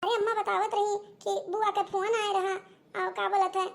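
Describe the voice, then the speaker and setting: A woman's voice: very high voice, flat delivery, normal speed, vocal fry. A woman voicing a gossipy, teasing young sister-in-law with an Awadhi accent in a comedy cartoon.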